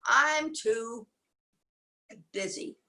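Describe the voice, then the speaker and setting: A woman's voice: fairly high pitch, pushed and bright, exaggerated voice. A woman voicing a busy, quacking duck in a children's story. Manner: quacks, brisk and dismissive.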